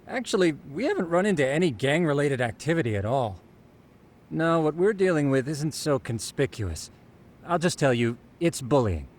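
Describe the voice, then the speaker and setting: A man's voice: a little high, sing-song, moderate pace. An actor voicing a nerdy, earnest male detective in a video game, explaining things in a precise, didactic way. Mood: earnest, lecturing. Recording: background music under the dialogue.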